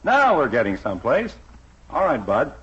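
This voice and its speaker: A man's voice: medium pitch, wildly swooping pitch, moderate pace, slightly rough, heavily acted. An actor playing an exasperated, hammy middle-aged suburban father with an American accent in 1950s radio sitcom style. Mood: hammy, amused. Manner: theatrical, emphatic. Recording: muffled vintage radio recording.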